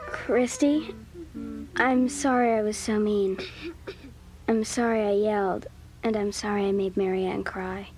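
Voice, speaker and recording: A woman's voice: mid-range voice, fairly even intonation, measured pace, long pauses, darkish tone, lightly acted. An actress playing an emotional young teenage girl among club friends in a children's audio drama. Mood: apologetic. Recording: faint background noise on cassette.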